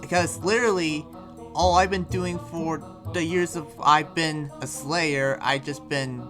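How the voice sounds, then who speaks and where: A man's voice: on the high side, fairly even intonation, unhurried, over music, lightly acted. A man playing an earnest demon slayer in an improvised roleplay, explaining things in a casual, rambling way. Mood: earnest, thoughtful.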